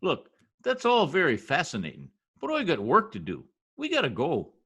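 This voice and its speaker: A man's voice: fairly high pitch, huge pitch swings, normal speed, sharp tone, slightly rough, heavily acted. A man playing a folksy, self-important wisecracking husband in an old-time radio comedy, talking in slangy bluster. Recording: home video-call recording.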